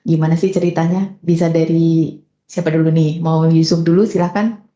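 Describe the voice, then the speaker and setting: A woman's voice: deep voice, steady tone, normal speed, mellow, reverberant, highs cut off. A young woman hosting a podcast interview, chatting casually with lots of fillers. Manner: chatty, many fillers and "gitu ya". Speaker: podcast host.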